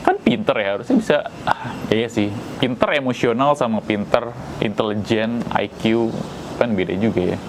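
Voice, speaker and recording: A man's voice: lowish voice, expressive, moderate pace, background noise. A man from Tegal, giving a casual, rambling opinion monologue as a vlogger and repeating his own phrases. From Tegal, Central Java.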